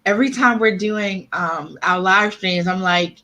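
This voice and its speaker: A woman chatting as a guest author on a casual livestream, lively and quick to laugh. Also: mid-range voice, poor audio.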